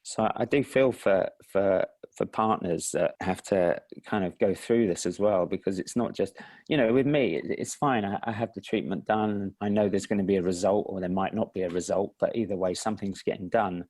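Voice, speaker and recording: A man with an Estuary English accent, speaking in a fairly low voice. He chats easily as an interview guest, self-deprecating and given to restarts. The sound is dry and close. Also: moderate pace, dry recording. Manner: relaxed, self-deprecating, with frequent restarts and laughs. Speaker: indie filmmaker and teacher, guest. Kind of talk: casual interview chat.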